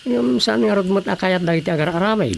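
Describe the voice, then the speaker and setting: A man playing a practical, questioning village colleague in an Ilocano Filipino radio drama, matter-of-fact, with a gravelly voice. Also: sits high, fast talker, a little hiss or hum. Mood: matter-of-fact, doubtful. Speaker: voice actor.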